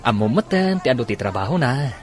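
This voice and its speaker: A man's voice: medium pitch, big pitch swings, normal speed, lightly acted. A man playing a nosy, flirtatious male cousin in an Ilocano radio drama, with an Ilocano accent. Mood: flirtatious, awkward.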